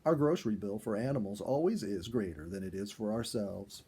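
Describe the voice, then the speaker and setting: A man reading a first-person animal story aloud in an expressive storyteller's style. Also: lowish voice, a little fast, slightly rough. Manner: expressive, acts out dialogue. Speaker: storyteller, reader.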